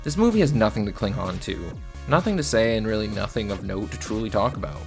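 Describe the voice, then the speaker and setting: A man's voice: fairly low pitch, expressive, fast talker, soft background music. A man giving scripted film review commentary in a blunt, sardonic manner. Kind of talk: scripted video essay commentary.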